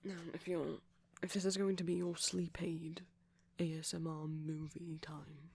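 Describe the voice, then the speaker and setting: Guy doing a soft shy vampire boyfriend for asmr, super creaky n breathy, kinda hesitant cozy rambling. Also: a little high, level tone, a little slow, heavily acted. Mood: tender, shy. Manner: hesitant, rambling, soft-spoken with whispery dips. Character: shy, gentle vampire boyfriend, rambling cozily to a sleepy partner. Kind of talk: intimate ASMR roleplay.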